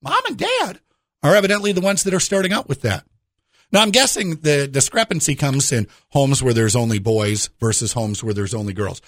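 A man's voice: mid-range voice, sing-song, a little fast, fairly bright tone, no echo, clean studio sound. A man hosting a radio show with a jokey conversational monologue, putting rhetorical questions straight to the listener.

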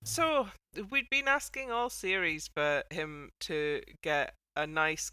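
A woman's voice: deep voice, sharp tone, clean tone, no echo. A woman hosting a casual panel chat in a wry, playful way. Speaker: podcast host.

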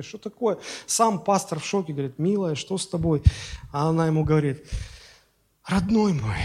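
A man preaching in a church, talking through his sermon in a loose, storytelling way with plenty of hesitations. His voice sits a touch high for a man and sounds slightly strained. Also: darkish tone, slightly rough. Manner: conversational preaching with fillers and anecdotes. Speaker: pastor.

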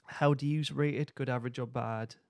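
A man's voice: medium pitch, steady tone, fairly quick, creaky, dry recording. A man hosting a podcast, chatting casually in an informal, understated way. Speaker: podcast host. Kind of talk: casual review chat.

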